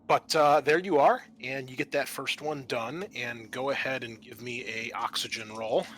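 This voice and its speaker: A man hosting a tabletop roleplaying game as game master, narrating off the cuff and pausing to think aloud. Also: mid-range voice, steady tone, a bit bright, a little hiss or hum.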